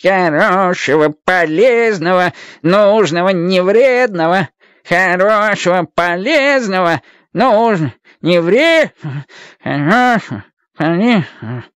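A man's voice: sits high, expressive, takes it slowly, fairly bright tone, clean tone, no room sound, voice pushed up, full character voice. A man voicing a little old magical guardian in a radio play, a stern but kindly mentor who scolds and lectures emphatically. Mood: chanting.

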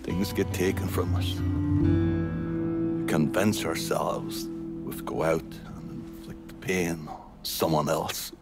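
An actor playing a bitter, middle-aged mobster with a wry, grieving, brooding manner in a video game cutscene. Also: male voice, sits low, fairly even intonation, vocal fry, loud music behind the voice, full character voice. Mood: grieving, weary. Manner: slow, brooding, halting, swearing.

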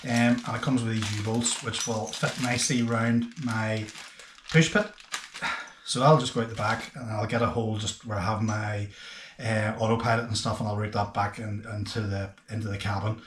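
A man, hosting a casual boating explainer to camera in a hesitant, unscripted way with frequent pauses. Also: lowish voice, flat delivery, background noise. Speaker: boating video host. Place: small indoor workshop room with bare walls. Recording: echoey bare room.

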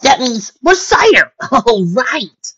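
Woman acting cocky tomboy pegasus girl, loud, raised pitch, very animated, storybook reading, lo-fi sound. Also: slow, heavily acted. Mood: excited. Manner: exclaiming, cocky, dismissive. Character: brash, boisterous tomboy female pegasus. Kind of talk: fan fiction story reading. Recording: dull lo-fi recording.

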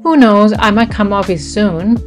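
A young woman narrating her fitness routine in a calm, chatty voice-over, lightly poking fun at herself. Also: mid-range voice, measured pace. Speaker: fitness vlogger. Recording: voice-over with music behind.

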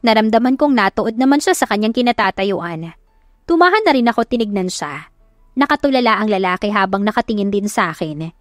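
A woman's voice: mid-range voice, lively intonation, brisk, dry recording, studio quality. A Filipino woman narrating a first-person romance story dramatically, as in an audiobook. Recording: music behind the voice.

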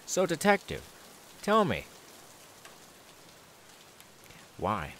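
A man's voice: fairly high pitch, huge pitch swings, unhurried, fairly bright tone, slight background noise, full character voice. A man voicing a menacing, taunting killer simmering with anger in an ASMR audio roleplay, drawing out repeated words.

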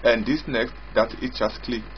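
A man giving an unscripted software walkthrough as its developer, explaining haltingly and repetitively in short phrases. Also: medium pitch, steady tone, slight background noise. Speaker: software developer demonstrating own app. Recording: dull lo-fi audio with loud music. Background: loud music.

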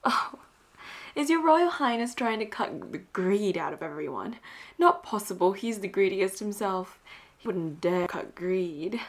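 A woman acting a flirty, dominant and cocky female pirate captain in a roleplay audio drama, teasing and breathy, often dropping toward a whisper. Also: mid-range voice, animated, measured pace. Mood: suspicious, commanding. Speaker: voice actor.

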